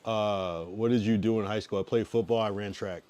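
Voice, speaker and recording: A man's voice: lowish voice, steady tone, moderate pace. A man in his thirties from the US East Coast, chatting casually and laid-back with viewers on a livestream, trailing off into mumbles. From East Coast US, Massachusetts and Connecticut. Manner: laid-back, drifts loud then mumbly.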